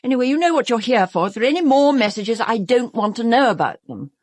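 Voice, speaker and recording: A self-absorbed British stage diva in a radio drama. Her voice is husky and swings widely in pitch, recorded close and dry. She is haughty and imperious, with a theatrical, melodramatic delivery that betrays an anxious vanity. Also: expressive, quick pace, a little mellow, no room sound. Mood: brisk, evasive. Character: vain, self-absorbed, fearful stage actress. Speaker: actress in an audio drama.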